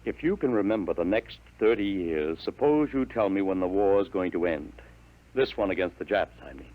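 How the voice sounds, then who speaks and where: A man playing a bewildered, doubting father in a 1950s radio drama, speaking haltingly and in disbelief. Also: low voice, phone-quality sound, natural voice. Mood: skeptical. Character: bewildered middle-aged father.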